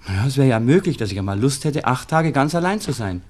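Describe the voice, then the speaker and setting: An actor playing a charming but evasive young Viennese lover in a radio play, gentle and courteous, with a Viennese accent. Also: male voice, medium pitch, big pitch swings, fast talker. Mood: uneasy, gentle. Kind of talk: 1960s German radio play acting. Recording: 1960s radio studio recording.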